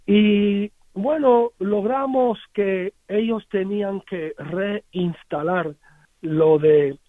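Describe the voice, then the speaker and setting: Halting American man on a dry phone-line radio. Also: high voice, no room sound. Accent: American English. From United States. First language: English. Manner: halting, many restarts and repeated words. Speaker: musician guest. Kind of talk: radio interview, casual recollection.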